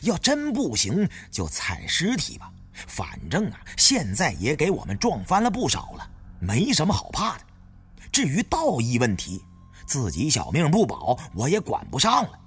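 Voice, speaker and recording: A man reading dramatic first-person audiobook narration with an expressive storyteller's delivery. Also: a little high, normal speed, slightly rough.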